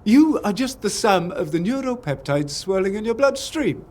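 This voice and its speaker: An actor playing a pompous, irritable, callous scientist in a video game. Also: male voice, sits high, very animated, moderate pace, a little mellow. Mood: smug, condescending. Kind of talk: video game character acting.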